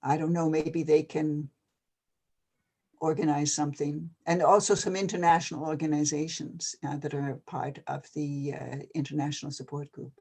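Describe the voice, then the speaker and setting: An older person from Canada, talking casually and hesitantly with many pauses and fillers. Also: mid-range voice, level tone, gravelly, a little mellow, slightly rough. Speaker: retired NGO advisor. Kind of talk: casual video-call conversation.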